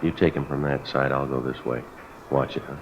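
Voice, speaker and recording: Really deep clipped cop in a 50s radio show, flat matter-of-fact and polite, dull old audio. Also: male voice, a little fast, background noise. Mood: businesslike. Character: terse, businesslike male police detective. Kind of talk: 1950s radio drama acting, clipped procedural narration. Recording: dull vintage radio recording with music.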